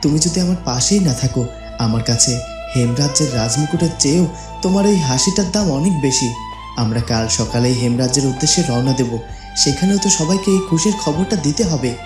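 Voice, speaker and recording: A man acts a romantic young cartoon prince. He speaks tenderly and reassuringly at a medium pitch. The track is roomy, with music underneath. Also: animated, brisk, lightly acted. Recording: roomy echo with music behind.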